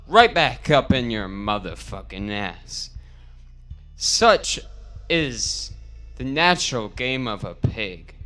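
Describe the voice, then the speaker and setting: A man acts out an old pig revolutionary giving a storybook sermon in street slang. The delivery is very slow and declamatory, bright and forceful, with dramatic pauses. Music plays behind the voice. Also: fairly high pitch, exaggerated voice. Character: old boar pig orator, rousing revolutionary farm leader. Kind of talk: theatrical audiobook reading in slangy parody speech.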